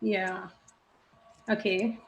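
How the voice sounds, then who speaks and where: A woman leads a group session in a casual way. Her voice sits at an average pitch, and the room around her adds noticeable echo to the call audio. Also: flat delivery, extremely slow. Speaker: workshop leader. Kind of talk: casual video-call facilitation. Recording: roomy echoing call audio.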